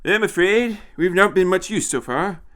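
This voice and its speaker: Old eccentric time traveller man, high fussy voice, swoopy, chiding, bit scornful, audiobook character. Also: expressive, moderate pace, fairly bright tone. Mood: playful, needling. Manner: tittering, tutting, hesitant interjections, chiding.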